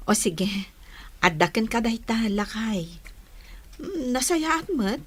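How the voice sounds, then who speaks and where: A woman with an Ilocano accent acting a patient, forgiving young wife and mother in a radio drama, gentle and measured. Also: a little high, big pitch swings, husky, full character voice. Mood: content, reassuring.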